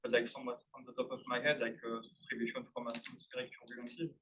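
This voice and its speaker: An audience member at a conference adding a comment, speaking hesitantly with many fillers. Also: male voice, medium pitch, little pitch movement, fast talker, bright, forceful tone, a little hiss or hum, rough recording.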